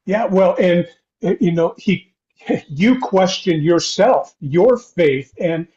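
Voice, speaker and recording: An older man hosting an interview podcast, talking in a halting, rambling way with repeated false starts. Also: high-pitched, a little mellow. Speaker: podcast host. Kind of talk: conversational interview. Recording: dull, lo-fi sound.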